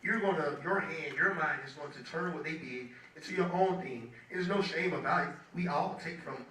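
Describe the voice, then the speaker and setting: A young man in his twenties speaks to a crowd, hoarse from days of talking, in a loose, chatty way. His voice is bright and a little high. It is heard from a distance in an echoey hall. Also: normal speed, a little hiss or hum. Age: 20s. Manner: loose and chatty, keeps asking the crowd "you feel me". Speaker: guest speaker, music producer. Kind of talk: casual motivational talk to an audience.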